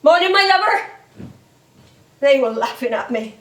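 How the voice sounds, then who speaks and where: Ageing high-voiced English comedienne, echoey stage. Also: female voice, animated, moderate pace. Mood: wistful. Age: older. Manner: wistful, rambling, reminiscing. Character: ageing music-hall comedienne, wistful, proud, chatty. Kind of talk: theatrical stage monologue and dialogue. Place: theatre stage. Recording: echoey stage, distant microphone.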